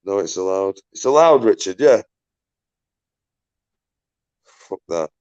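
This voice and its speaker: A man hosting a casual live stream, swearing freely, laughing a lot and bantering with callers in a loud voice. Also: animated, slow, clean tone, highs cut off. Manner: says he has a deep, loud voice. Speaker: livestream host.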